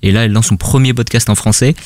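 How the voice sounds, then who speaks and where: A man's voice: on the low side, steady tone, fast, a little mellow, no echo, studio quality. A man presenting a recommendation on a podcast in casual chat, hesitant and full of fillers. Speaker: podcast panelist.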